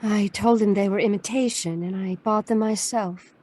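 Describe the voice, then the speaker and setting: Mellow, wistful noir mystery woman played by an actress. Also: medium pitch, steady tone, moderate pace, lightly acted. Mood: wistful, confessional. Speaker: actor in an audio drama. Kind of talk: noir radio drama acting.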